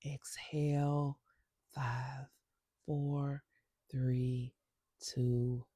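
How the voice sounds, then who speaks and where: A woman with a stuffy nose guiding a spiritual intention ceremony with breathwork, speaking slowly and softly and often dropping to a whisper. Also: a bass voice, level tone, husky, no room sound, clean studio sound. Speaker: spiritual circle facilitator.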